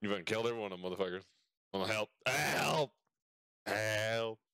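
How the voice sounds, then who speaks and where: A man's voice: lowish voice, lively intonation, brisk, gravelly, bright, forceful tone, clean tone, no echo, clean studio sound. A man giving casual gameplay commentary as a streamer, boisterous, slangy and full of swearing. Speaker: game streamer.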